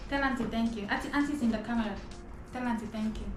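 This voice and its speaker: A woman from Nigeria unboxing gifts at home in a casual vlog, excited and often gasping, softly talking to herself. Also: on the high side, quick pace, soft background music. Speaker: vlogger. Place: home in Dubai. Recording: echoey room with clear background noise.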